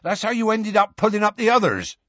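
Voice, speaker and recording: A man putting on a loud gruff half-giant gamekeeper for a storybook reading, voice climbing high as he coaxes and scolds, lively and swooping. The sound is husky and a little dull, with a dry close feel. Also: fairly quick, dry recording, heavily acted. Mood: coaxing. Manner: shouting up, coaxing and scolding.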